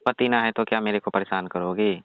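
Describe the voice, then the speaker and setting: A man's voice: lowish voice, fairly even intonation, a little fast, clean tone, no echo, lightly acted. A man playing a reluctant, exasperated young village electrician, grumbling his refusals in a comic phone skit. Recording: tinny, dry phone-call recording.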